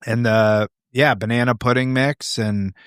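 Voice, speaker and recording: A man's voice: mid-range voice, steady tone, a little slow, fairly bright tone, smooth, no echo. Jokey male podcast host, dry studio sound. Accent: Pittsburgh. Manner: jokey, teasing, laughs at his own jokes. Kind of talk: casual podcast interview. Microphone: studio podcast mic with headphones.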